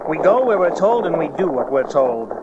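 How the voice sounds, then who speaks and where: Grim roman soldier, fatalistic, a bit high, loud noise behind. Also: male voice, very animated, moderate pace. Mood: grim, resigned. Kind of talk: dramatized audio Bible acting. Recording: dull cassette, very noisy background.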